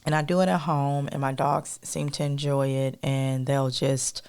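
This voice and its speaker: Soft-voiced woman with a gravelly edge. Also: very deep voice, flat delivery, moderate pace. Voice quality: soft melodic voice. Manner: rambling, addresses listeners as y'all, many fillers. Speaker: podcast co-host. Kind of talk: casual chatty monologue to listeners.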